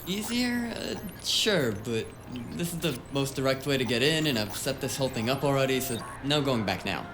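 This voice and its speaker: An actor playing a reckless, breezy cyberpunk hacker sidekick in an audio drama, flippant and evasive in manner. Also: male voice, medium pitch, moderate pace, background noise, close to an everyday voice. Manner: flippant, animated, hedging. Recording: music behind the voice.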